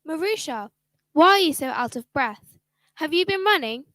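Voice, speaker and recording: A woman's voice: high-pitched, expressive, moderate pace, fairly bright tone, no room sound. An actress playing a caring father who grows indignant on his daughter's behalf in a children's radio play. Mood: concerned. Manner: concerned, indignant. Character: caring father, protective and quick to anger.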